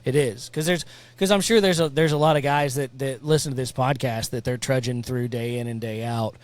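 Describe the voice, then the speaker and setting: A man's voice: mid-range voice, steady tone, fairly quick, no room sound. A man hosting a casual podcast, encouraging his guest and rambling through his thoughts. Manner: encouraging, rambling, restarts often. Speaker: podcast host. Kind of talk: casual conversation.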